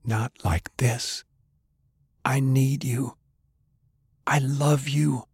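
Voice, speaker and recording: An audiobook narrator, a man, voicing an anguished man who pleads in a hushed way with his dying lover. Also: medium pitch, steady tone, very slow, slightly rough, dry recording, pitched above the performer's own voice, exaggerated voice. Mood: desperate pleading.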